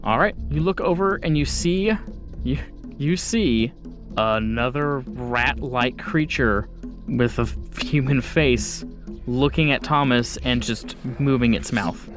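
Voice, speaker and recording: A man acting as game master, improvising horror narration in a conversational way with pauses and bursts. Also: mid-range voice, music in the background.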